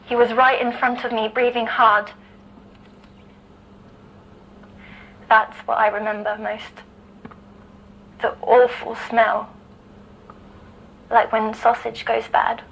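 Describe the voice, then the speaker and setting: Timid, troubled girl, audio drama. High-ish, slow, fragile, haunted by nightmares. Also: flat delivery, creaky, fairly bright tone, exaggerated voice, rough recording. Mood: uneasy, remembering.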